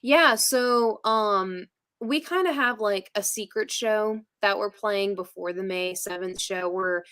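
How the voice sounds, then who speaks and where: A woman's voice: mid-range voice, takes it slowly, a bit bright, clean tone, no echo, studio quality. A woman chatting casually in a giggly manner, peppering her talk with "like". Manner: giggly, frequent laughing, heavy use of "like". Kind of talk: casual chat.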